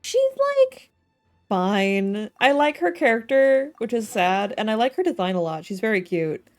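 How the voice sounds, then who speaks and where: A woman's voice: mid-range voice, a little slow, soft background music. A woman chatting casually and expressively while live streaming a game. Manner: giggly, expressive.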